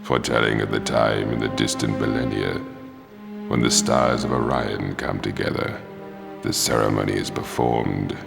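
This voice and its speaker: An actor voicing an ominous, solemn prophecy in a video game, gravelly and portentous. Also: male voice, rumbling low, little pitch movement, exaggerated voice. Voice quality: gravelly, cavernous. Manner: slow, portentous. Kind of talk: game cinematic prophecy narration. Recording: long reverb with music behind.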